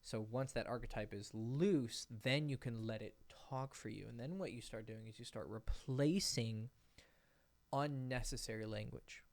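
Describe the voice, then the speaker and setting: A man giving casual dating advice, talking loosely and animatedly and acting out bits of example conversation, with a voice he calls fairly high-pitched. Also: normal speed. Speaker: dating coach. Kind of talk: casual advice monologue to camera. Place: indoors, sitting in a rocking chair.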